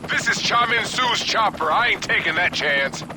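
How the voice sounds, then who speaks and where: Terse mercenary over battle noise. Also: male voice, high-pitched, lively intonation, normal speed. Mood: tense. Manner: terse, practical, businesslike. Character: serious, cautious male mercenary soldier, businesslike team leader. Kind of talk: video game cutscene voice acting. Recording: noisy battle ambience with gunfire and vehicles.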